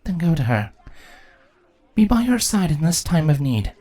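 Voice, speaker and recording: A man's voice: a little high, sing-song, moderate pace, mellow, dry recording, some chatter behind, pitched above the performer's own voice. A man voicing a firm, formal and caring female changeling queen in a fantasy storybook. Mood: concerned.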